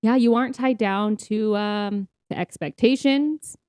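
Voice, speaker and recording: A woman's voice: medium pitch, unhurried, darkish tone, clean tone, no echo, clean studio sound. A woman co-hosting a faith podcast, talking in an informal, chatty way with frequent affirming interjections. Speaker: podcast co-host. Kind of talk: casual conversational faith podcast.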